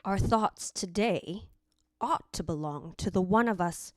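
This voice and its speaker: An actress playing a stern, strained but composed elder sister in an old-time radio gothic drama, controlled and reproving. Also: female voice, on the low side, measured pace, no room sound, clean studio sound, natural voice. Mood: stern, guarded.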